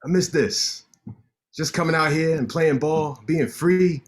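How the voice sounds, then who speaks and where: Guy reading as a cocky show-off lawyer, teasing and bragging, quick with the banter. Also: on the high side, lightly acted. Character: Tommy, a cocky sharp-tongued male lawyer. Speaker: actor in a staged reading. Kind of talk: staged play reading.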